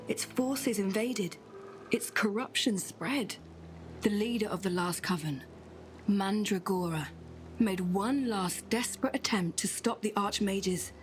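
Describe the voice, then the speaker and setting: A mysterious witch acted by a woman with a mid-pitched voice and solemn, portentous delivery. She speaks in measured, earnest phrases like a keeper of ancient secrets in a fantasy game, with faint music behind her. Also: natural voice. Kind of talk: dramatic fantasy game acting.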